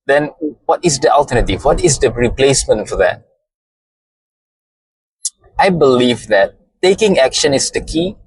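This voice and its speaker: A man with a Malaysian accent, a native Malay speaker, giving a slow, deliberate motivational talk and often repeating phrases. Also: mid-range voice, lively intonation, slightly rough, dry recording, poor audio. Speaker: podcast host.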